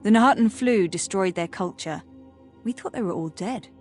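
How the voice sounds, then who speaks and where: A woman playing a cautious, matter-of-fact scholar in a fantasy game, measured and informative. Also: mid-range voice, a little mellow, soft background music, natural voice.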